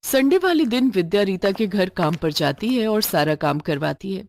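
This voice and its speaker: A woman's voice: mid-range voice, expressive, moderate pace, gravelly, darkish tone, slight background noise. A woman storyteller reading scripted narration for a moral tale.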